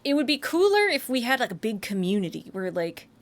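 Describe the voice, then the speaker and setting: A woman's voice: fairly high pitch, sing-song, brisk. An American woman, a streamer and voice actor, chatting casually and rambling, with frequent restarts, swearing and laughter. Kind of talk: casual live-stream chat.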